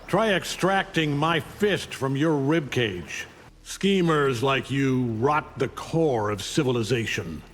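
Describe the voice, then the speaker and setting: A man's voice: medium pitch, expressive, takes it slowly, in a large hall, a little hiss or hum. A man voicing an arrogant, menacing alien conqueror in a video game, with a contemptuous, commanding manner. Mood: dismissive. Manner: contemptuous, taunting, coldly commanding. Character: arrogant, menacing alien conqueror, a middle-aged superhuman father. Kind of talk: video game voice acting, fight intro taunts.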